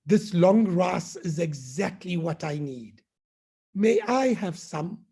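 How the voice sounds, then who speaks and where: A man's voice: sits high, lively intonation, takes it slowly, gravelly, dark tone, slightly rough, heavily acted. A man doing a big, polite, hungry buffalo. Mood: pleading. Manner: polite, pleading.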